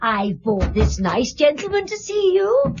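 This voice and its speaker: A woman's voice: high-pitched, very animated, a little slow, a little mellow, highs cut off. A woman playing a fussy, kindly female neighbour in a radio drama, polite, earnest and deferential.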